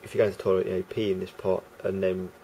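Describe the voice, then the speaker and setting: A man with a croaky voice talking casually to camera as a vlogger, rambling and hesitant with many fillers and restarts. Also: low-pitched, level tone, quick pace, mellow, a little hiss or hum.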